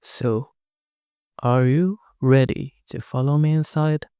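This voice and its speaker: A narrator telling a first-person horror story in a hushed, dramatic scripted reading. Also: mid-range voice, steady tone, slow, clean tone.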